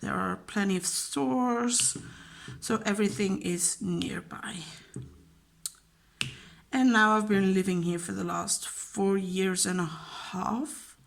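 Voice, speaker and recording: Young Flemish woman, casual vlog chat, laughs a lot, middle pitch. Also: fairly even intonation, slow pace, gravelly. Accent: Flemish Dutch. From Belgium. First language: Dutch. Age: young adult. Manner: chatty, rambling, laughs often, many fillers. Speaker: vlogger and teacher. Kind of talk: casual chat answering a question tag.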